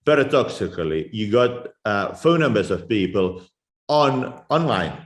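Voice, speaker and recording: A man's voice: lowish voice, animated, unhurried. A man with a Lithuanian accent giving spontaneous answers in an interview as an activist. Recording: echoey room.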